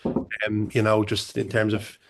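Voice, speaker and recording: A man's voice: fairly low pitch, completely flat delivery, unhurried. A man, a band manager answering on a music-industry panel, talking loosely with many restarts and fillers. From Ireland. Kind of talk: panel discussion.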